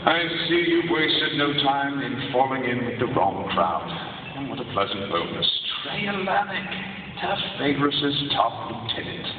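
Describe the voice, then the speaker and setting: A man's voice: mid-range voice, big pitch swings, measured pace, noisy background. A man playing a cold, menacing Imperial officer who makes coldly polite, sneering threats. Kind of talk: live stage audio drama. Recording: tinny, echoey arena from far off.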